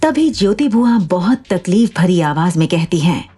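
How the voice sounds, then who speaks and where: A woman's voice: lowish voice, expressive, normal speed, dark tone, breathy edge, faint music behind. A woman narrating an audio drama in a scripted storytelling style.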